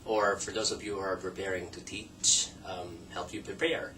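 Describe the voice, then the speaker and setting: Filipino guy teaching, english his second language, lower voice, lots of ums, kinda far off mic. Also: flat delivery, sharp tone. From Philippines. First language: Tagalog and Ilocano. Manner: measured, with frequent um pauses. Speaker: assistant professor. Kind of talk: introducing an online teacher training course. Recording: distant mic with faint noise.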